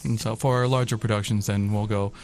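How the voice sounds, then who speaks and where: A man's voice: lowish voice, fairly even intonation, brisk, darkish tone. A man talking as an interview guest, explaining things in an informal way. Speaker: radio theatre member, interview guest. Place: college radio studio.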